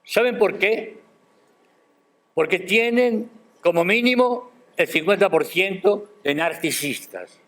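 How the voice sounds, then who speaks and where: Older man, formal academic speech, slow delivery, slightly high voice, emphatic. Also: animated, a bit bright. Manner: measured, emphatic, with rhetorical questions. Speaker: guest speaker at a university ceremony. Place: university auditorium.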